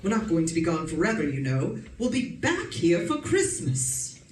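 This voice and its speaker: A woman's voice: lowish voice, huge pitch swings, normal speed, a little mellow. A woman playing a bossy, scolding middle-aged wife in an old-time radio suspense play. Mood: dismissive. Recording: roomy, distant mic, faint noise.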